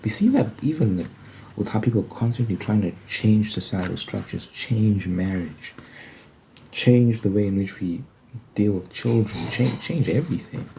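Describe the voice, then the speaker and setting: A low-voiced man with a mellow, dark tone delivers an informal ASMR monologue. He explains things haltingly and conversationally, often posing questions to himself. Faint noise sits behind his voice. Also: animated, creaky, low-quality recording. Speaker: ASMR video creator. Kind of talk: casual ASMR religious monologue.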